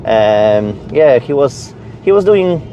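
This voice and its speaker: A man's voice: medium pitch, very animated, takes it slowly, warm tone, muffled recording, slight background noise. A man from Poland with a Polish accent, chatting casually to viewers as a vlogger, effusive and often repeating words for emphasis. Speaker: vlogger presenting own channel. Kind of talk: casual personal vlog chat.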